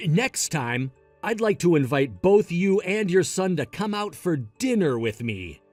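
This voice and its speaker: A man's voice: sits high, big pitch swings, measured pace, darkish tone, no room sound, faint music behind. A man playing an earnest, sentimental family friend in a melodramatic audio story, speaking with heartfelt sincerity. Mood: earnest, hopeful.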